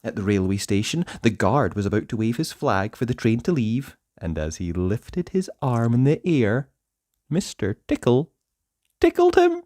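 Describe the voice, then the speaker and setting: A man reads a children's story with a hugely varied, swooping delivery at a middling pitch. He is playful, leaning on words and pausing for drama, and the recording is close and dry. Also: huge pitch swings, a little mellow, no echo. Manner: playful, dramatic pauses and emphasis. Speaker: storybook narrator. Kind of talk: animated children's read-aloud narration.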